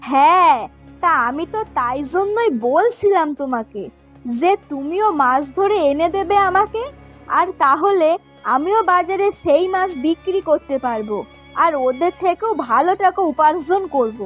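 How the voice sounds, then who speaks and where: A woman's voice: very high-pitched, expressive, normal speed, fairly bright tone, soft background music. A woman voicing a chatty, eager village fishwife with a coaxing, folksy manner in a fairy tale cartoon. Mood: eager, scheming.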